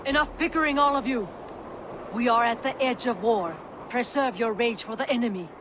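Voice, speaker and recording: A woman's voice: a little high, normal speed, noisy background, lightly acted. A woman playing a level-headed, stern Viking leader in a video game, speaking with urgent authority. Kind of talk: dramatic video game acting. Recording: clear outdoor ambience behind voice.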